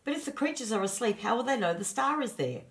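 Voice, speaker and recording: Storybook role: curious young-at-heart male spirit helper, eager and questioning, lifted pitch performed by a woman reader. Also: big pitch swings, quick pace. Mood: puzzled. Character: Punjal, curious, worried male spirit helper among the stars.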